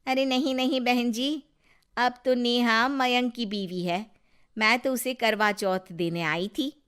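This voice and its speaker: Woman doing a polite middle-aged mother, earnest and gentle, slightly high, slow. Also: steady tone, clear, resonant tone.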